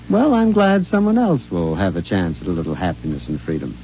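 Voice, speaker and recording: An actor playing a meek, apologetic husband who talks briskly and stammers when cornered, in 1950s radio drama style. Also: male voice, on the low side, mellow. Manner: brisk and placating, breaking into stammering panic. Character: meek, apologetic middle-aged husband, easily flustered. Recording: dull old radio broadcast with hiss and long reverb.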